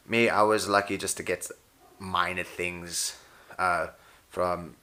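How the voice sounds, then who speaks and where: Deep, bright-voiced man. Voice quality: voice tires easily from past throat injury. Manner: rambling, self-correcting, wry. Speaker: pro wrestler and jiu-jitsu and boxing coach. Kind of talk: unscripted casual talk to camera.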